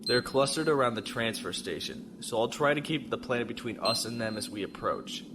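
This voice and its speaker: An actor playing a calm, determined spaceship pilot in a science fiction audio drama, speaking in clipped commands. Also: male voice, mid-range voice, fairly quick, noisy background, close to an everyday voice. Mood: quiet resolve. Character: calm, determined male spaceship pilot, steady under fire.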